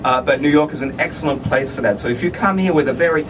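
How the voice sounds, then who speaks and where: A man from Australia with an Australian accent, talking about his life in a casual street interview and often stopping to restart with fillers. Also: on the high side. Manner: halting, many restarts and fillers. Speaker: interviewee, filmmaker. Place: outdoors at a street event. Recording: distant mic, loud street noise.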